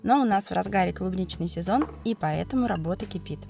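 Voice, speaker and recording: A woman with a cold, narrating a cooking video as its host, explaining each step calmly and methodically. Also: medium pitch, quick pace, creaky, soft background music. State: has a cold, voice affected. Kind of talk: calm recipe voice-over narration.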